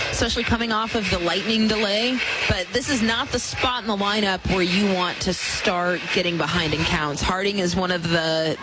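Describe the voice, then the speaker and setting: A woman giving emphatic, explanatory live softball analysis as a radio color commentator. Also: medium pitch, heavy background noise.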